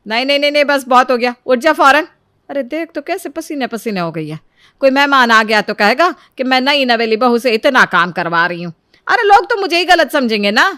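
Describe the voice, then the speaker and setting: A woman's voice: high voice, expressive, a little fast, pushed and bright, smooth, no echo, clean studio sound, pitched above the performer's own voice. A woman voicing a scheming, domineering mother-in-law in a storybook tale, scolding and theatrical. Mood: fussing. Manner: scolding, theatrical, switches to martyr-like fussing. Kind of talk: Hindi moral-story voice acting.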